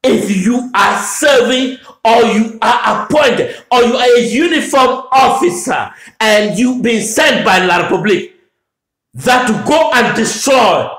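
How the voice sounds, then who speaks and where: A man from Anglophone Cameroon giving a fiery political address, shouting and repeating his demands in a declamatory way. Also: very high voice. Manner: shouting, repetitive declamatory oratory. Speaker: political activist making a public address. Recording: echoey room.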